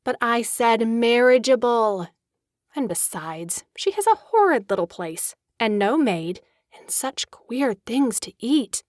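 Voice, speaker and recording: An elegant young society lady for an audiobook drama, with a slightly high, expressive voice. She is witty and coquettish, with a streak of discontent, captured close and dry. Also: slow, no room sound. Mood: disdainful.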